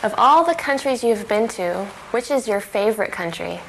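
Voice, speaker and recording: A woman's voice: medium pitch, animated, moderate pace, vocal fry, a bit bright, a little hiss or hum, rough recording. A woman reading the questioner's part in a scripted practice dialogue, curious and questioning. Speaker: dialogue reader asking the questions.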